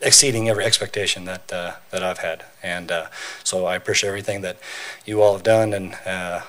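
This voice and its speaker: A union local leader addressing a city council, halting and full of uhs, appreciative of the city's leaders. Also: male voice, low-pitched, fairly even intonation, a bit bright. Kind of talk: council meeting remarks. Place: council chamber.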